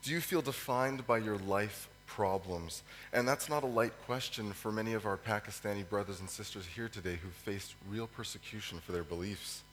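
A man with a cold, his voice hoarse and fading, preaches a sermon with many ums and pauses to clear his throat. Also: lowish voice, fairly bright tone. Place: church hall. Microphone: microphone. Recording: echoey hall with faint hum.

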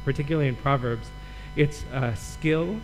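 Relaxed man teaching a sermon. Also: mid-range voice, normal speed, a little hiss or hum, poor audio. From Michigan. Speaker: guest preacher, Old Testament professor.

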